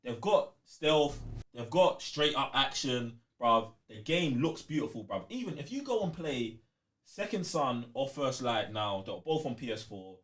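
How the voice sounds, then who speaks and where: London guy chatting games on a podcast, mid pitch that swings about a lot, slangy, really pushes his points. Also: lively intonation, unhurried, a bit bright. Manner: emphatic, slangy, repeats points for emphasis. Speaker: gaming podcast co-host. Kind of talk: casual chat about video games.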